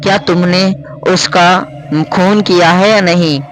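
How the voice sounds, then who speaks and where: A man's voice: high-pitched, flat delivery, measured pace, fairly bright tone, faint music behind. A man voicing a stern woman teacher questioning a pupil in a spoken story. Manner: stern, interrogating. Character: stern female schoolteacher interrogating a pupil.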